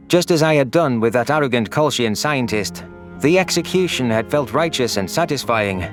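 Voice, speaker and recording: A man's voice: medium pitch, lightly acted. A man voicing an anguished, vengeful young furry alien who narrates his own audio story in an earnest, solemn, dramatic way.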